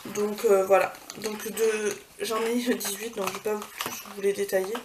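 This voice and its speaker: A woman's voice: mid-range voice, little pitch movement, a little slow. A woman vlogging a casual beauty haul, chatty, with many fillers and self-corrections. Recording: far from the mic, clear background noise.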